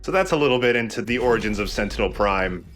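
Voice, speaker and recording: A man's voice: medium pitch, animated, fast, a bit bright, soft background music. A man giving casual, jokey commentary as the host of a video game playthrough. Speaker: let's play host and commentator.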